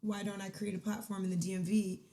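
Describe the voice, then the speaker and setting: A woman from the DMV area, guesting on a podcast, telling stories in an outgoing, animated way and asking "right?" over and over. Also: medium pitch, quick pace, a little hiss or hum. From United States, DMV area. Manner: laughs often. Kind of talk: casual chat.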